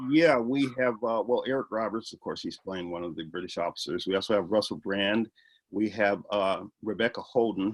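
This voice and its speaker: A man's voice: fairly low pitch, poor audio. A man being interviewed about his film, explaining earnestly and eagerly, with frequent fillers. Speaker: filmmaker guest. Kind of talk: interview answers.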